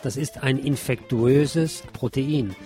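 A man's voice: medium pitch, slow, warm tone. Man reading radio news over faint music. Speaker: radio host.

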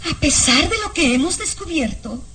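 An actress playing a young, anguished but defiant noble daughter in a melodramatic radio drama, pleading and insistent. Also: female voice, sits high, lively intonation, moderate pace, sharp tone, slight background noise. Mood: anguished, accusing.

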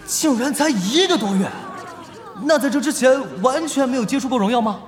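A man playing a lively esports stage host in an audio drama, with a polished, enthusiastic announcer delivery. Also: very high voice, brisk, a little hiss or hum. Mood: upbeat, announcing. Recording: long stage reverb, faint music behind.

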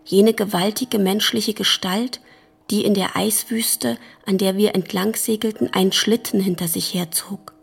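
Haunted letter writer for radio drama: grave, hushed, very slow, creaky and breathy. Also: female voice, medium pitch, fairly even intonation, full character voice. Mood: hushed, troubled.

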